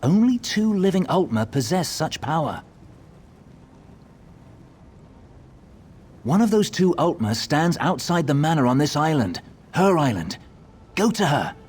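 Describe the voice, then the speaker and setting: An actor voicing a whimsical, coy gatekeeper who tells stories theatrically in a fantasy game. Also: male voice, on the high side, big pitch swings, normal speed, a little mellow, breathy edge. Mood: hushed scene-setting. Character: whimsical, courteous-demanding male gatekeeper and storyteller. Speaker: storytelling gatekeeper.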